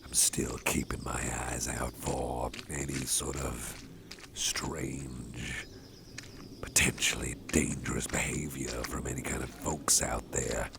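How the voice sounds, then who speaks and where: A man playing a protective, soft-hearted boss in an ASMR roleplay, talking in soft, close whispers with the odd chuckle. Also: very deep voice, little pitch movement, slow, gravelly, background noise, exaggerated voice. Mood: gentle. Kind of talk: ASMR personal attention roleplay.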